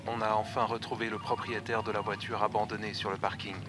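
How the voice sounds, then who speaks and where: An actor playing a matter-of-fact junior policeman, deadpan, in a drama heard in the background. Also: lowish voice, quick pace, natural voice. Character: matter-of-fact male police subordinate reporting to chief. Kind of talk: French audio drama acting. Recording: distant dialogue under music.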